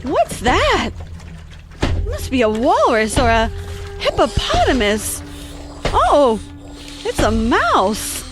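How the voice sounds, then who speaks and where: Earnest, chatty little girl, voiced by a woman; high and sing-song. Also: huge pitch swings, slow pace, long pauses, over music, exaggerated voice. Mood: chattery. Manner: chattery, earnest, easily flustered. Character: curious, polite little girl. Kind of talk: audio drama acting.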